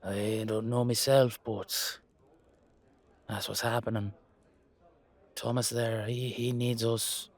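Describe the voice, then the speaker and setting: Man as a level-headed male leprechaun, matey and colloquial, lowish husky voice, unhurried, roleplay drama. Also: level tone. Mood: explaining.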